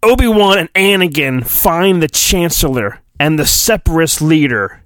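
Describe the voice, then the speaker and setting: A man's voice: a little high, expressive, normal speed, a bit bright, dry recording. A man hosting a podcast, casual and self-deprecating, reading a children's storybook aloud in a playful mock-dramatic way. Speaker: podcast host.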